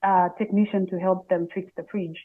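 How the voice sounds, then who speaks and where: A woman's voice: on the low side, flat delivery, moderate pace. A woman from Tanzania with a Tanzanian accent, a native Swahili speaker, answering interview questions conversationally as a language teacher. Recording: muffled, phone-like band-limited call audio.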